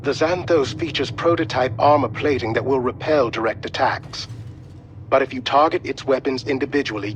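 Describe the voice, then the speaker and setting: A man playing a calm, precise military intelligence adviser briefing an operative in a video game. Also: medium pitch, lightly acted.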